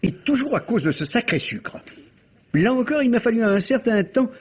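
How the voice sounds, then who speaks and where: An actor playing a courteous, teasing police inspector with a deliberate manner in a 1950s French radio mystery. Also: male voice, extremely high pitch, big pitch swings, husky, heavily acted. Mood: amused. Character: wry, courteous police inspector explaining a murder case. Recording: dull vintage radio recording.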